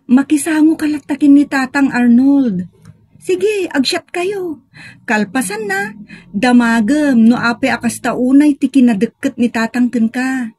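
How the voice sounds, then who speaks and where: An inquisitive daughter played by a woman in an Ilocano comedy drama, protective of her father and speaking with a northern Philippine accent. Her voice is fairly high and husky, in a close dry recording. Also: unhurried, a little mellow, no room sound. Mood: curious, surprised. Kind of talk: Ilocano comedy radio-style drama acting.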